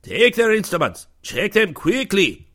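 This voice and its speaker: Man voicing a gruff enemy starship commander, barking orders, raised strained voice, storybook reading. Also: high voice, sing-song, normal speed, a bit bright, voice pushed up, heavily acted. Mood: gruff, suspicious. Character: scowling armed male enemy ship commander.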